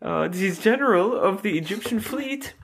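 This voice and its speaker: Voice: medium pitch, expressive, gravelly. Someone putting on a pompous mock-Italian ancient Egyptian official. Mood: bossy. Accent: mock Italian-sounding Middle Eastern accent. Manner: broken grammar, slow emphatic repetition. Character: pompous ancient Egyptian librarian turned general, man. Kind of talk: improv comedy.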